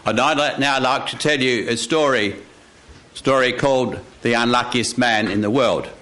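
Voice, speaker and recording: A man telling a story to a live audience, with deliberate, dramatic phrasing and pauses. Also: mid-range voice, sharp tone, a little hiss or hum, poor audio. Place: radio studio with live audience.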